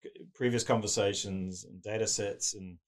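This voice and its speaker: A man with a deep voice, a guest in a casual interview, explaining technology at length with everyday analogies. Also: steady tone, normal speed. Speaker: guest, tech business owner.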